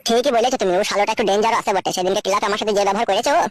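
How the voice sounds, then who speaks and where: A surly young village schemer a man's part acted by a woman grumbles crudely at a rapid clip. It is homemade cartoon dubbing with noise behind the voice. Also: medium pitch, steady tone, close to an everyday voice. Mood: scheming. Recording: loud background noise.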